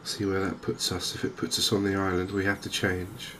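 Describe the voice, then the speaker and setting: A man softly narrating a game in ASMR style, hushed and hesitantly thinking aloud. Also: deep voice, monotone, a little fast. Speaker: gaming video host. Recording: noticeable background ambience noise. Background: game ambience.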